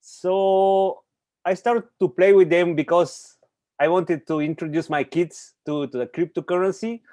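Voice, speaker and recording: Man from Bulgaria, English, unhurried, dry close mic. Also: high voice, fairly even intonation, darkish tone, no room sound. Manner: halting non-native phrasing with laughs. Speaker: meeting participant, programmer. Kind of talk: casual self-introduction on a call.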